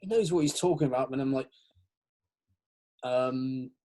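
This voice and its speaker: Host: young man, mid-pitched, loose and rambling, laughs a lot. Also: measured pace, darkish tone, low-quality recording. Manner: rambling, lots of fillers, laughs. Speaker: podcast host. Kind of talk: casual chat.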